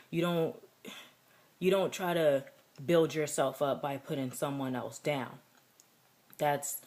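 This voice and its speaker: A young woman with a deep voice, talking earnestly and reflectively in a personal vlog, with many fillers. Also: darkish tone. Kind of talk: personal vlog contest entry.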